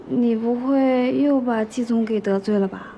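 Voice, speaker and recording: A woman's voice: a little high, moderate pace, mellow, a little hiss or hum, natural voice. A woman playing a curious, teasing office colleague in a TV drama, casual and inquisitive. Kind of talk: naturalistic TV drama acting.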